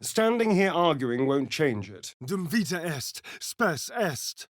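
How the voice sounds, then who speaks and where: An actor voicing a sardonic, foppish, flirtatious vampire spawn in a video game, with an arch, theatrical, languid drawl. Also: male voice, a little high, sing-song, slow, dry recording, studio quality. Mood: incanting. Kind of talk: video game character lines.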